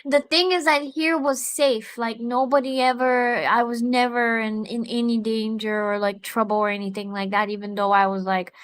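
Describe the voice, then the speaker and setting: A woman's voice: fairly high pitch, moderate pace, hardly any pauses. A young woman from Bulgaria hosting a casual conversation, telling personal stories with lots of "like" fillers. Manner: animated storytelling with frequent fillers. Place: home in a small town.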